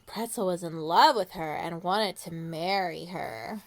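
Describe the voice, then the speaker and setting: A woman reading a picture book aloud to young children in an expressive way, with dramatic pauses and questions to her listeners. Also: on the low side. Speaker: children's storytime reader.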